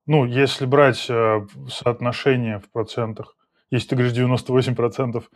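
A man's voice: mid-range voice, little pitch movement, fairly quick. A man in his thirties hosting a casual video call chat from a small room at home, laid-back and slangy, laughing easily. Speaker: podcast host.

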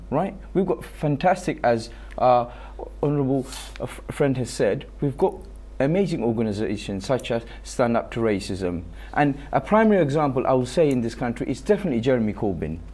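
A man's voice: mid-range voice, sing-song, normal speed, hardly any pauses, a little mellow. A young man speaking as a guest on a TV panel in a studio, passionate and rallying. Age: young adult. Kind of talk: TV panel discussion. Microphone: lapel.

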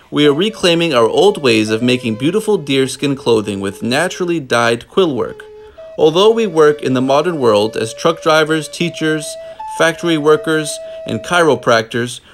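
A man reading a book aloud in a measured, deliberate way, pausing often between phrases. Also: medium pitch. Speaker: storybook reader. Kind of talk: children's book read-aloud, scripted narration.

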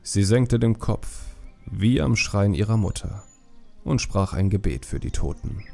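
A man reads a story as an audiobook narrator. His voice is low and creaky, measured and solemn, with a dark warm tone. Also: expressive, faint music behind.

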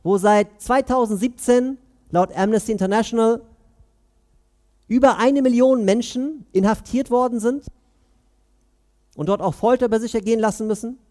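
Man, 30s, imam's Friday sermon; earnest, questioning delivery, high voice, headset mic. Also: warm tone. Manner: earnest, questioning, pauses between phrases. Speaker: imam giving Friday sermon. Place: mosque prayer hall, indoors.

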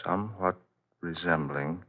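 A serious husband caught up in a mystery, speaking in a deep voice with deliberate drama. The style is forties radio horror. The old recording is somewhat muffled. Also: male voice, very noisy. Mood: calm, serious. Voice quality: low-pitched voice. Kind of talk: 1940s radio horror acting.

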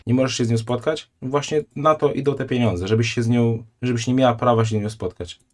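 A man in his thirties, a live streamer reacting to a video in a blunt, indignant way, often sinking to a quiet, disgusted tone. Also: medium pitch, steady tone, brisk, slight background noise.

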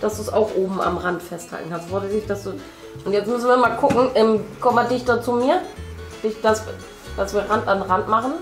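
A woman's voice: medium pitch, brisk. A woman in her thirties chatting casually to young viewers as the host of a family video, explaining things directly to them. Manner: speaks to children, addressing viewers directly. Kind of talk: casual chat, explaining to viewers while painting. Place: indoor room at a table. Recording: roomy sound, faint music. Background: music.

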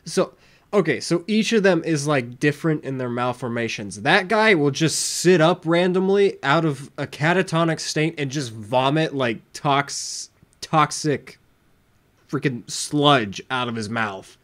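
A man's voice: on the high side, unhurried, fairly bright tone. A young adult man giving casual reaction commentary into a desk microphone in a small room. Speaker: reaction video host. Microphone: desk microphone with headphones.

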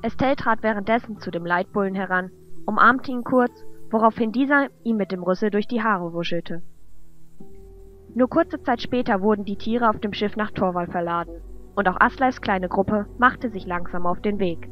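A woman's voice: medium pitch, normal speed. Female storyteller, slightly dull recording. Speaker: narrator. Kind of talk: scripted narration of a fantasy audio drama. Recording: dull lo-fi sound, music underneath.

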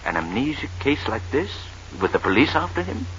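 A man's voice: mid-range voice, big pitch swings, a little fast, fairly bright tone. An actor playing an authoritative, coldly clinical older man, a sanatorium director, in 1940s radio style. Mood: grave. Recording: dull, hissy 1940s radio broadcast.

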